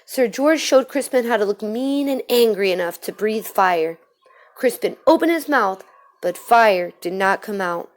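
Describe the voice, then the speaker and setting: A woman reading a children's storybook aloud in an expressive way. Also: mid-range voice, a little slow. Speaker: storybook reader.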